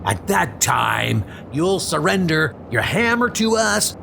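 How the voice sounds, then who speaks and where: A man's voice: a little high, big pitch swings, takes it slowly, gravelly, sharp tone, slight background noise, pitched above the performer's own voice, heavily acted. A man playing a menacing, cruel supervillain brute who gloats and commands in a comic-book dub. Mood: gloating.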